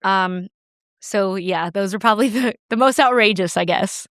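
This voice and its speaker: A young woman talks easily as a podcast guest. Her voice is smooth and clear, caught on a close, dry mic. She laughs often and restarts her sentences. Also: medium pitch, moderate pace, fairly bright tone, no echo. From United States. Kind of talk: casual interview chat.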